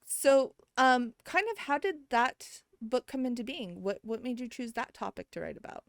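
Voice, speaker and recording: A woman's voice: a little high, lively intonation, normal speed, no echo, clean studio sound. A woman hosting a casual podcast interview, enthusiastic and bubbly, with fillers like um. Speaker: podcast host.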